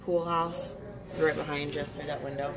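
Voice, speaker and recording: A woman's voice: sits low, flat delivery, moderate pace, poor audio. A woman giving casual, direct directions. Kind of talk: casual talk. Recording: crowd noise behind.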